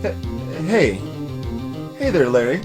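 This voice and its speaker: A man's voice: a little high, sing-song, slow. A man voicing an earnest, nervous young male rookie lawyer in a video game, a little hesitant, over music. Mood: uneasy. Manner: hesitant, stammering. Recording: music playing behind the voice.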